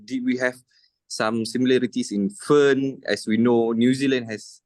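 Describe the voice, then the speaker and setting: A man moderates a question session over a video call. He speaks formally and politely, with frequent pauses and fillers. His voice sounds close and dry. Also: medium pitch, steady tone, a little mellow, no room sound. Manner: formal, hesitant, many fillers.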